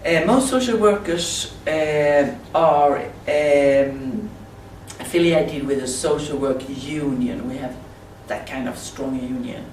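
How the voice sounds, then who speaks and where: A Swedish woman with a Swedish accent, speaking halting non-native English in a slide presentation at a conference. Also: sits low, a little mellow. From Sweden. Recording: roomy, distant mic with faint hiss.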